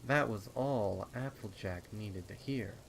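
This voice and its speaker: A man's voice: lowish voice, takes it slowly, vocal fry, breathy edge, low-quality recording. A man narrating a scripted horror story in a dramatic reading style. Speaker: fan fiction narrator. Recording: rain and storm sounds behind. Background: rain sound effects.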